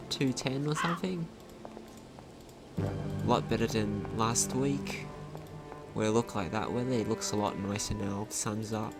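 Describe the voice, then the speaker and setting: Slow-talking man over prominent game music. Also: mid-range voice. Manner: hesitant, rambling, thinking aloud. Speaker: gaming video host. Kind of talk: casual let's play commentary. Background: video game music.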